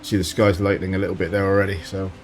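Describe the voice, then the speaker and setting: A man with a slight sore throat giving relaxed commentary while playing a driving game, thinking aloud as he goes. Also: sits low, rapid-fire, faint music behind. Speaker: let's play host. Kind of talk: casual gameplay commentary.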